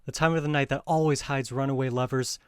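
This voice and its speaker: A man's voice: mid-range voice, fairly even intonation, fast talker, smooth, no room sound, clean studio sound, lightly acted. A man playing an ardent, reassuring young lover in an audio drama, earnest and theatrical in manner. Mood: friendly, confiding. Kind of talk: modernized Shakespeare audio drama acting.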